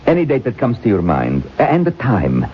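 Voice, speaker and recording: A vengeful, seething occult author. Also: male voice, medium pitch, wildly swooping pitch, brisk, slightly rough. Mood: sly. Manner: swings from furious outbursts to sly, quiet menace. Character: proud, vengeful male witchcraft author, seething. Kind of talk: 1970s radio drama acting. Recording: dull 1970s radio recording.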